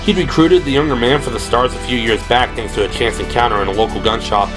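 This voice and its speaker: A man's voice: medium pitch, quick pace, music in the background. A man reading scripted, dramatic prose as the narrator of an audio drama. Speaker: narrator and performer.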